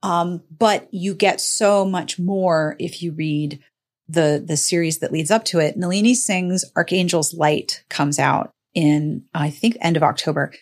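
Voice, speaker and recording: A woman with a slightly low voice hosts a casual podcast conversation. She talks in an emphatic, playful way, using slang as she shares book recommendations. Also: steady tone, measured pace, a little mellow. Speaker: podcast host.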